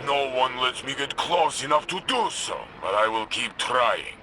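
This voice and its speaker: A man's voice: medium pitch, animated, a little slow, noisy background, lightly acted. Wary, terse soldier played by a man. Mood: suspicious. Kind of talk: video game cutscene dialogue.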